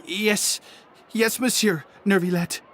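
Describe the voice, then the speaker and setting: An actor playing a nervous, cowed criminal underling in a video game, hesitant and stammering. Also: male voice, sits high, steady tone, slow, fairly bright tone, slight background noise.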